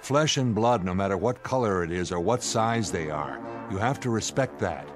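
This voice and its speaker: An actor playing a principled, earnest astronaut in a radio drama, speaking gravely and emphatically. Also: male voice, fairly low pitch, lively intonation, moderate pace, over music, lightly acted. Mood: stern, indignant. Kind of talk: dramatic radio play acting.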